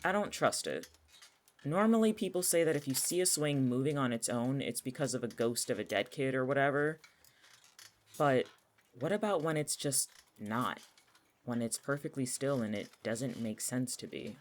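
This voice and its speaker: A man's voice: medium pitch, normal speed, natural voice. A young man acting in an audio drama as a cynical, anxious, jobless atheist, with a sardonic, argumentative way of talking. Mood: wary, earnest. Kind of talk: naturalistic audio fiction dialogue.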